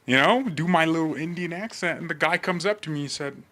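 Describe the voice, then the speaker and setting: A man rambling casually and comedically to livestream viewers in a brash, mocking, animated manner. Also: a little high, a little fast.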